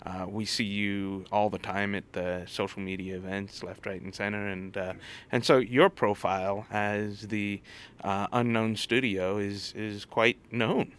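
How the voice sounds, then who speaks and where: A man speaking as an interview guest in a casual, hesitant way, often pausing mid-sentence. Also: fairly low pitch, fairly even intonation, fairly bright tone, smooth. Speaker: interview guest, head of a digital arts college. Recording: faint background noise.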